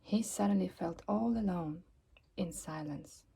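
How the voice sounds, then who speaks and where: A woman reading a children's story aloud expressively, with dramatic emphasis and pauses. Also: on the low side, vocal fry, dark tone, a little hiss or hum. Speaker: storybook reader.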